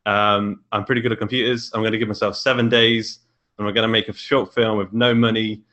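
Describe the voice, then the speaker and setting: British man, lowish forceful bright voice, casual podcast guest, a bit self-deprecating. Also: little pitch movement, a little fast, lo-fi sound. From England. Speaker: podcast guest, indie author and filmmaker. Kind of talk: casual chat.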